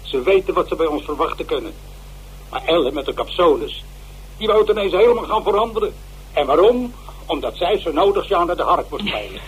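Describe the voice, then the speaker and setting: A man's voice: on the high side, sing-song, brisk, slight background noise, low-quality recording. An actor playing a fussy, gossipy man of the theatre in a radio drama, indignant and scornful. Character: peevish, gossipy male comedy-theatre player, indignant and fussy. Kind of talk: Dutch radio drama monologue.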